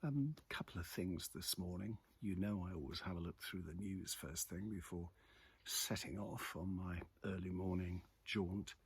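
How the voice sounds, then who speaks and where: A British man giving a casual, off-the-cuff commentary on the news in a reflective, halting way. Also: low-pitched. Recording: clearly noisy ambient background.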